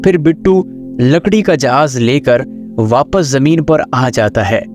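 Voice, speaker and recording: A man's voice: mid-range voice, unhurried, over music. A man narrating a scripted children's story as the storyteller.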